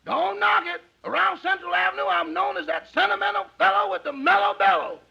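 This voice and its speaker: A man playing a cheeky, boastful valet with a gravelly voice and quick comebacks in 1950s radio comedy. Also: extremely high pitch, exaggerated voice. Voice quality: raspy, gravelly. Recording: noisy muffled old radio recording.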